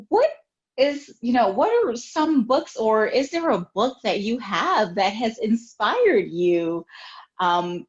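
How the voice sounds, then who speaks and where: A woman hosting a children's reading show, interviewing an author in a gentle, encouraging way. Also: mid-range voice. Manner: gentle, encouraging, with many fillers. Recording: dull lo-fi sound.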